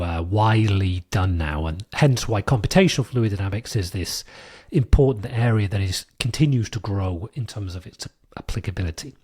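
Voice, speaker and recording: Hoarse British man hosting a podcast. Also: lowish voice, darkish tone. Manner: thoughtful, with frequent fillers and restarts. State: hoarse from a cold. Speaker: podcast host. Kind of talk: solo explanatory podcast monologue.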